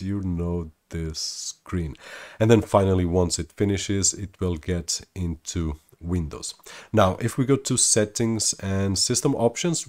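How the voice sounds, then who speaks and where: Man, deep voice, thirties, tech tutorial, steady upbeat explaining. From Portugal. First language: Portuguese. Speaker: tech YouTuber. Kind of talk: tutorial walkthrough. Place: room indoors at a desk.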